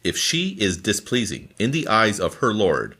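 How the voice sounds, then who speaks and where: A man reading scripture from a formal translation in a deliberate, phrase-by-phrase manner. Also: fairly low pitch, very animated, pushed and bright. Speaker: scripture reader.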